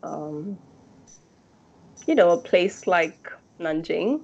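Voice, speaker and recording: A woman's voice: lowish voice, animated, very slow, vocal fry, a little mellow, muffled recording, slight background noise. A woman with a South African accent speaking reflectively in a conversational interview, choosing her words in a thoughtful, hesitant way. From Durban, South Africa. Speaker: interview guest.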